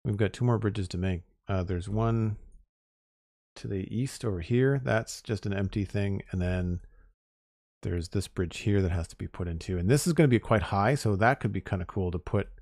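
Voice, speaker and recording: Male streamer, low voice, slightly hoarse, relaxed chatty delivery, dry close sound. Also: normal speed, dry recording. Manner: relaxed, chatty, talks to chat. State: losing his voice. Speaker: Minecraft streamer. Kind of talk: casual live-stream chat while building.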